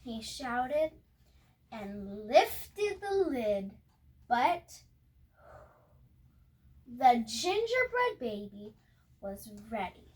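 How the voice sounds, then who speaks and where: High-pitched young girl reading a storybook. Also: poor audio. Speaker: storybook reader. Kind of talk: reading a picture book aloud with chatty asides.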